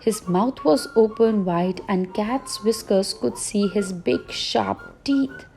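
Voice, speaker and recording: Bedtime storyteller: a woman reading very slowly, with a mellow, higher voice, and soft music underneath. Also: gravelly. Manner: gentle, unhurried reading.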